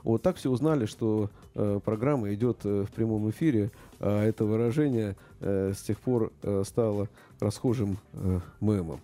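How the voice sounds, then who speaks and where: A middle-aged man with a very dark, mellow voice. He talks casually about politics and stumbles often, filling pauses and restarting his sentences. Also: lowish voice. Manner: hesitant, many fillers and restarts.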